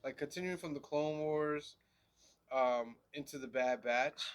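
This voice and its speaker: A man co-hosting a casual review chat, with a stuffy, nasal voice from allergies and a relaxed, chatty manner full of "um"s. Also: a little high, unhurried, clean tone. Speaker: podcast co-host.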